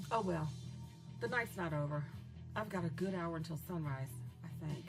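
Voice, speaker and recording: A young murderess played by an actress chats and taunts with mocking, unhinged glee. She is girlish yet menacing, as in a horror radio play, with music running clearly behind her. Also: low voice, lively intonation, moderate pace, low-quality recording. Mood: grudgingly confident. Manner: taunting, chatty, foul-mouthed, swinging between glee and menace. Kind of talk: dramatic monologue in an audio horror drama.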